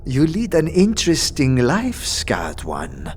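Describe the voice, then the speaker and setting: An actor playing a pale, devout death-cult missionary with a measured, formal and quietly eerie manner in a fantasy audio drama. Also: male voice, mid-range voice, wildly swooping pitch, slight background noise.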